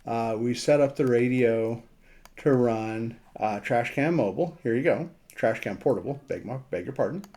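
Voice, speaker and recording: A man giving a casual screen walkthrough as a radio hobbyist, thinking aloud in a halting way. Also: medium pitch, dark tone. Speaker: amateur radio operator presenting a map demo.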